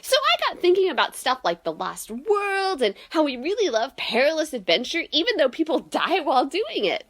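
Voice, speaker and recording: An excitable young female student in an audio drama, played by a woman. Her voice is high and bright, with hugely swooping intonation. She gushes and is keen to please. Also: normal speed, few pauses, heavily acted. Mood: animated storytelling.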